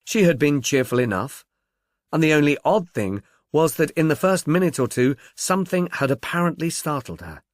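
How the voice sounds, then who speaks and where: A man reading a detective novel as an audiobook narrator, in a measured, expressive storytelling manner. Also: on the high side, no echo, clean studio sound.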